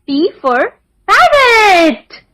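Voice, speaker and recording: A woman narrating a children's alphabet lesson in a deliberate sing-song manner, enunciating each word. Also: very high-pitched, sing-song, fairly bright tone, a little hiss or hum.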